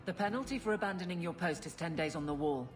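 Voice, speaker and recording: A woman's voice: fairly low pitch, quick pace, big-room sound, over music, natural voice. An actress playing a stern, blunt female captain of the city guard in a video game. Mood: firm, commanding. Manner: blunt, commanding.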